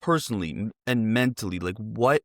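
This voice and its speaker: Male interviewer, slightly low voice, dry recording, earnest and conversational, circles around his questions. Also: animated, fairly quick, no room sound. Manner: earnest, rambling questions with repeated phrases. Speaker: interviewer, host.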